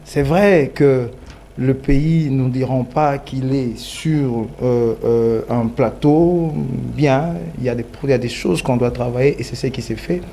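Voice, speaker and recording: A man with a Congolese accent making a measured, reassuring argument in a political debate. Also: medium pitch, mellow, slight background noise. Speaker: debate guest.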